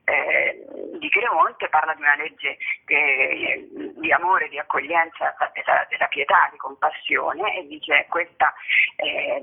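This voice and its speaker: A woman speaks over a narrow phone line, her voice creaky. She is earnest and halting, feeling for words as she answers interview questions. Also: low voice. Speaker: interview guest, theatre artist and author. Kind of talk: spoken interview answers about own work. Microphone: telephone. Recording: narrow, tinny telephone line.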